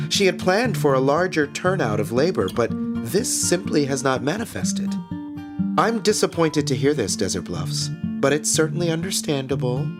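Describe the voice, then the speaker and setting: An actor playing a gleeful, unsettlingly cheerful small-town radio host, with a theatrical, drawn-out delivery in a scripted podcast monologue. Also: male voice, on the high side. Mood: earnest reporting. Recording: music behind the voice.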